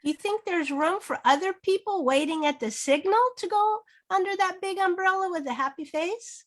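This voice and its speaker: A woman teaching through an interactive children's read-aloud, modeling phrases slowly and asking prompting questions. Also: very high-pitched. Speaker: reading teacher. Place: online video session.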